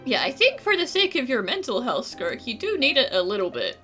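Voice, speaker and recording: A woman's voice: high-pitched, sing-song, fairly quick, music in the background. A woman voicing a small, perky, blunt companion in a fantasy video game. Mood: frank, chirpy.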